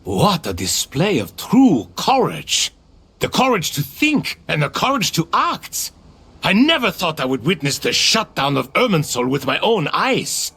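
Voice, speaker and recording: A man's voice: on the high side, huge pitch swings, unhurried, fairly bright tone, slightly rough, heavily acted. A man voicing a grandiose, playful ancient spirit in a video game, with theatrical, declamatory delivery. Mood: awed, grand.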